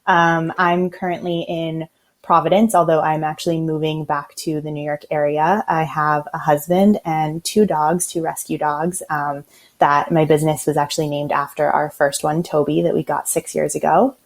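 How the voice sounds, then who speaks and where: An American woman from Los Angeles speaks in a notably low register. She talks fast and intensely, with a sarcastic streak, chatting casually as a guest on a remote podcast call. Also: flat delivery. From Los Angeles, USA. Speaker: podcast guest, website designer. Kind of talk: casual interview chat.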